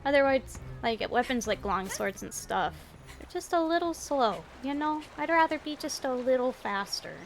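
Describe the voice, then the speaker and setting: A woman with a gravelly voice, chatting casually and a little self-deprecatingly while she plays a video game. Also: sits high, expressive, normal speed, slight background noise. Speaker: gaming streamer. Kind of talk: casual commentary while playing. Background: game music.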